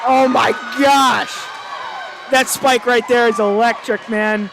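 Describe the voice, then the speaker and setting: A man giving chatty, enthusiastic color commentary at a high school volleyball game. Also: steady tone, slow, creaky, raised voice, a crowd in the background, background noise. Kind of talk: casual sports color commentary.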